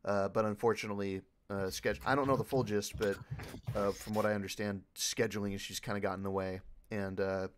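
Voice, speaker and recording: Male panelist, lower-pitched, informal and enthusiastic, loosely rambling delivery. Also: little pitch movement, fairly quick, a little hiss or hum. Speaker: panelist, video editor for a fan dub group. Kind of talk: casual panel Q&A talk.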